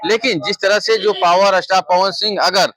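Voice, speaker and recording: A man's voice: high voice, steady tone, moderate pace, sharp tone, poor audio. A man working as a street news reporter, interviewing and reporting in wordy, repetitive phrasing. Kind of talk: vox pop interviewing and reporting.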